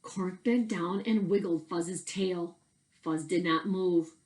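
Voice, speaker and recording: A woman reading a children's storybook aloud as a teacher, with deliberate, emphatic phrasing and expressive narration. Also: on the low side.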